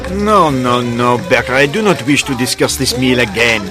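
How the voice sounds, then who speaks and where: A man's voice: medium pitch, normal speed, over loud music, natural voice. Man acting an exasperated French hotel chef. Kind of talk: detective radio drama acting.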